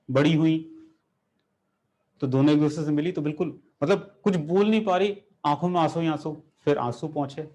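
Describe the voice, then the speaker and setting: A man teaching chemistry, lecturing a class and turning the topic into a story, asking the students questions as he goes. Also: on the high side. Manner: animated, rhetorical questions, addresses students directly.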